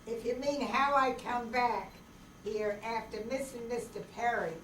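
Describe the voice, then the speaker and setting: A woman as a slow, plaintive, folksy husband, distant. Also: fairly high pitch, fairly bright tone. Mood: aggrieved, sorry. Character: slow-witted, courtly, aggrieved husband turned gunman. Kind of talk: amateur old-time radio play acting. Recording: echoey, distant, noisy room recording.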